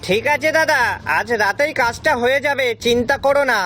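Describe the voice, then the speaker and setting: A man playing an obedient, shady village henchman in an animated story, eager and conspiratorial. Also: very high voice, a little fast, soft background music.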